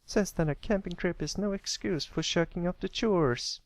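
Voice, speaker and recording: A spirited young farm filly from a cartoon pony tale, read by a man. Her voice is raised high and girlish, with a folksy little-sister manner. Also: normal speed. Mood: grumbling.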